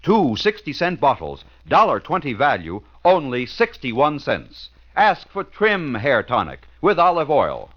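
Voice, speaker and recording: A man's voice: medium pitch, wildly swooping pitch, unhurried, hardly any pauses, a little hiss or hum. Swooping, emphatic American radio ad man. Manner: emphatic salesman delivery. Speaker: commercial announcer. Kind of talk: 1940s radio advertisement, scripted pitch. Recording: muffled old radio recording.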